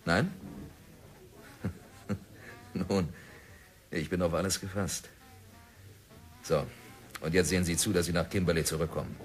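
A stubborn diamond prospector. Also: male voice, low voice, fairly even intonation, unhurried, long pauses. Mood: defiant. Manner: defiant, self-assured, sardonic. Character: stubborn obsessed male diamond prospector, middle-aged. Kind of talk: 1960s radio drama acting. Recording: old radio play with faint music.

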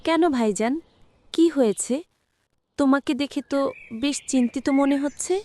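A woman's voice: sits high, normal speed, a little mellow, natural voice. A woman playing a stingy, dismissive middle-aged aunt in a cartoon drama, with a blunt manner. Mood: concerned.

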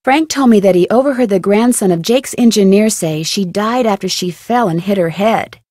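A composed young American woman detective from a mystery game, played by an actress. She speaks at a medium pitch, calmly laying out what she knows. The recording is dry and close. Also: normal speed, no echo, close to an everyday voice. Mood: calm, informative. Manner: calm, clear-headed, explanatory. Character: composed, sensible young female amateur detective. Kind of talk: scripted video game voice acting.

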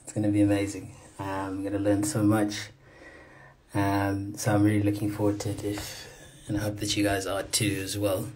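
Young male host, husky voice, upbeat and eager, phone video call from a small room. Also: fairly low pitch, completely flat delivery, moderate pace, a little mellow, poor audio. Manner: upbeat, enthusiastic, many fillers. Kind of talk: casual livestream interview. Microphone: phone front camera, video call.

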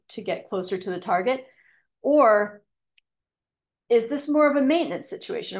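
A woman's voice: medium pitch, gravelly. A woman giving a conservation webinar talk over a video call, speaking hesitantly with many ums and restarts. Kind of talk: webinar lecture with slides. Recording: dull lo-fi video call audio.

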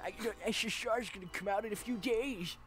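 A man voicing a meek, apologetic, eager shadow bear sidekick in a faintly played animated video. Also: sits high, fast talker. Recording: faint video playback under loud music.

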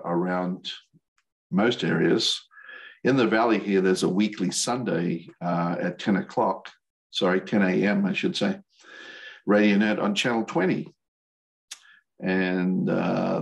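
Older American male presenter, deep and creaky, hesitant, explaining slides informally over a video call. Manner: hesitant, halting delivery. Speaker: volunteer instructor, radio operator.

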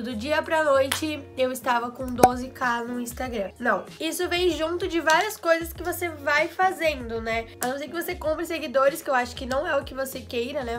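A young woman with a high, bright voice, a little hoarse from a recent cold, chats through tips for her followers. She talks casually to the camera in a small bedroom studio, piling on fillers and asides, with faint music playing under her voice. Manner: chatty, quick asides and fillers. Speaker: vlogger. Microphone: camera in front, head and shoulders framing.

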